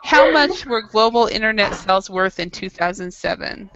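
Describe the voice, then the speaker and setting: A woman's voice: mid-range voice, lively intonation, normal speed, fairly bright tone, no room sound, lo-fi sound. A woman teaching an English class, running a quiz with students and reading an article aloud in a chatty, encouraging way. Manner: chatty, encouraging, laughs easily.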